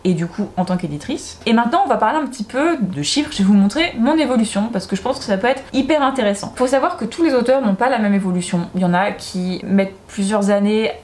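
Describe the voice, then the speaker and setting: A woman's voice: mid-range voice, expressive, fast, hardly any pauses, a little mellow. A woman who is a self-published author, chatting to camera in an upbeat way and thinking aloud as she goes. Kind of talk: casual chat to camera.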